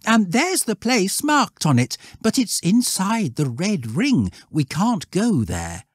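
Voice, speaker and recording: A man's voice: high voice, huge pitch swings, takes it slowly, breathy edge, dry recording, clean studio sound, voice pushed up, full character voice. A man voicing an eager, well-mannered British schoolboy in a story, polite and inquisitive. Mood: helpful, polite. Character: eager, curious, well-mannered upper-class schoolboy Scouts.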